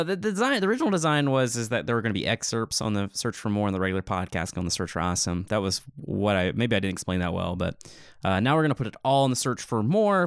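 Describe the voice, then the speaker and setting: A man with a fairly low voice talks as a podcast co-host. His delivery is casual and conversational, and the sound is close and dry with very little room. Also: creaky, clear, resonant tone, dry recording. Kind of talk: casual podcast chat and commentary.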